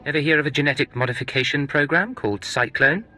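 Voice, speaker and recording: An actor playing a cool, deceitful secret agent in a video game, with a curt and threatening manner. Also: male voice, fairly high pitch, fast, bright, forceful tone, soft background music. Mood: cold, threatening.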